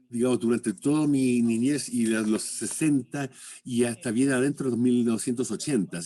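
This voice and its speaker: A man interpreting a public lecture live, speaking haltingly as he searches for words and rewords his phrases. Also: medium pitch, mellow, breathy edge.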